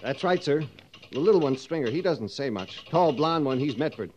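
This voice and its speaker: A man's voice: on the high side, animated, moderate pace, a little mellow, dull audio, lightly acted. An American man playing a dutiful, deferential army corporal in a 1950s radio western, formal and earnest. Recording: old radio recording, faint noise.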